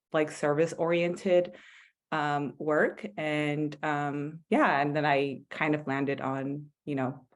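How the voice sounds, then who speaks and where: A young woman in her twenties, a medical student guest, speaking hesitantly with many ums and likes. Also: deep voice. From born in Ethiopia, raised partly in Eritrea, then California. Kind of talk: casual online panel discussion.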